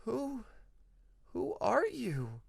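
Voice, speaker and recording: A man's voice: very high-pitched, wildly swooping pitch, very slow, vocal fry, slightly rough, pitched above the performer's own voice, heavily acted. A man voicing a young male dragon who is wistful, polite and hesitant, in a storybook narration. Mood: shaken, confused.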